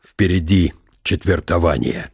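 An actor playing an aging military count, proud and brooding, in a deliberate, reflective radio drama monologue. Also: male voice, low voice, creaky, slightly rough, dry recording, full character voice. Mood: grave, haunted. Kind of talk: dramatized literary reading with inner monologue. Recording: studio radio drama with music behind.

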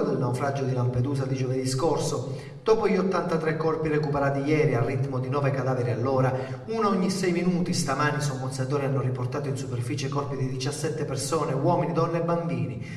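An actor playing a brisk, impersonal male news reporter reading a scripted bulletin. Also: on the high side, lightly acted. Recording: echoey hall, distant from mic.

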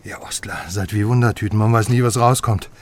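An actor playing a stout West German journalist, wheedling and brash, in naturalistic radio drama style. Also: male voice, lowish voice, fairly even intonation, a little fast, slight background noise, close to an everyday voice. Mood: relieved, wary. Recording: music behind the voice.

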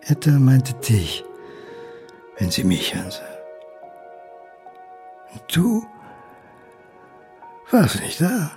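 An actor playing a lonely, abandoned son in a radio play, speaking in halting, broken phrases of hurt and pleading. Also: male voice, medium pitch, wildly swooping pitch, a little mellow, exaggerated voice. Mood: bitter, hurt. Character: wounded, abandoned illegitimate son, lonely grown man, pleading. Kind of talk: dramatic monologue, radio play. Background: music.

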